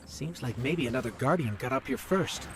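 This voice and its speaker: Lively, earnest robot companion voiced by a man. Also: medium pitch, moderate pace, background noise, close to an everyday voice. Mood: focused, wary. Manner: expressive, chatty, earnest. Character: small floating robot ghost companion, earnest, talkative, anxious. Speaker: companion guide to the player. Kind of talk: video game voice acting.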